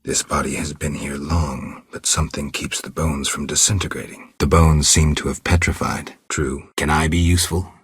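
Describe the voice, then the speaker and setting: A man voicing a stoic, world-weary monster hunter in a video game, terse and deadpan, with a gravelly, husky low voice. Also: moderate pace, few pauses, no room sound, studio quality, full character voice. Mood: quietly observant. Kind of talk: video game dialogue lines.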